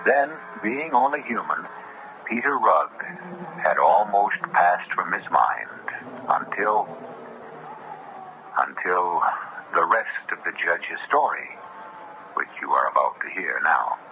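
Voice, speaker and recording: A man hosting and narrating a radio mystery drama in a measured, solemn, theatrical manner. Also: sits low, very animated, exaggerated voice. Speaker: narrator and host. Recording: noisy vintage radio broadcast.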